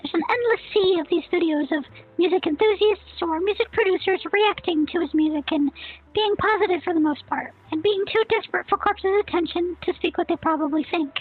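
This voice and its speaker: A woman's voice: extremely high pitch, brisk. A woman voicing a smug young boy savant who hosts a snarky scripted commentary rant. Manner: smug, snarky, self-assured. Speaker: commentary channel host. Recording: tinny phone-like sound, faint music.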